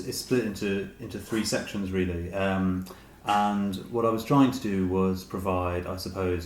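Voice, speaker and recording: A man in his late forties speaks in a low register, feeling his way thoughtfully through his answers. He sounds like an interview guest in a reverberant room, with some noise behind him. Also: darkish tone. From Dublin, Ireland. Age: late 40s. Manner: hesitant, thoughtful, hedging with "sort of". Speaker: interview guest, short story writer. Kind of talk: reflective conversational interview. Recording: roomy echo, noticeable background hiss.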